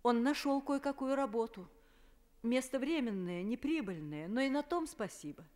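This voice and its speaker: A worried mother pleads softly with her sons to come home, played by a woman. Her voice is a little high and tender. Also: animated, moderate pace, close to an everyday voice.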